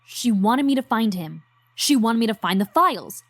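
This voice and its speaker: A woman's voice: a little high, expressive, moderate pace. A woman playing a stubborn, determined young investigator. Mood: pleading. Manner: blunt, determined. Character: stubborn, driven young woman chasing the truth. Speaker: voice actor. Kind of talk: audio drama acting.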